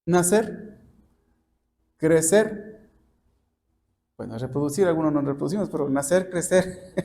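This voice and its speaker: Male preacher, high pitch, mellow tone, didactic Bible teaching, frequent rhetorical questions. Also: unhurried, a little hiss or hum. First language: Spanish. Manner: didactic, often asking rhetorical and checking questions. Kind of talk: expository sermon taught like a lesson.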